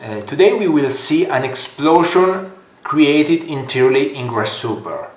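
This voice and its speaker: A young man with an Italian accent, a non-native English speaker, talking through a software tutorial with many fillers and restarts. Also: fairly high pitch, expressive, unhurried, roomy sound, slight background noise. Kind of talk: screen-recorded software tutorial.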